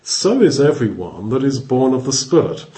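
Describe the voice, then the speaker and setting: Guy teaching bible verses, mid pitch, thinks aloud, restarts a lot. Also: big pitch swings, moderate pace, dark tone, low-quality recording. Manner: thoughtful, restarts phrases, explains word by word.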